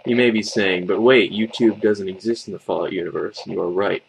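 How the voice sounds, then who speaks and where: A man narrates a scripted creepypasta in a low-pitched voice. His delivery has a noticeable gravelly fry, and there is faint noise in the recording. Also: steady tone, brisk, poor audio. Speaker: horror story narrator.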